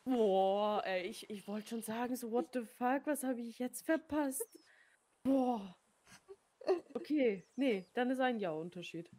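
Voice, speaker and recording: A woman's voice: a little high, unhurried, mellow. A woman in her thirties chatting casually in a game roleplay, swearing lightly and laughing often. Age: 30s.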